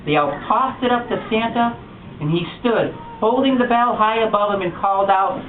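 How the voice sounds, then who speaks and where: A man reading a picture book aloud at a bookstore storytime, telling it with expressive animation. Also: high-pitched, moderate pace, a crowd in the background. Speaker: storytime reader. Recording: distant echoey lo-fi room recording with noise.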